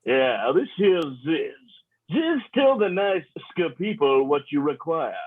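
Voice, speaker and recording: Guy doing cocky froggy space outlaw, husky drawl, slangy party animal, comedy read, tinny phone-like audio. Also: fairly high pitch, very animated, slow, exaggerated voice. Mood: breezy, cocky. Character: swaggering, laid-back male alien frog outlaw, party animal. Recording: muffled, phone-like narrow sound.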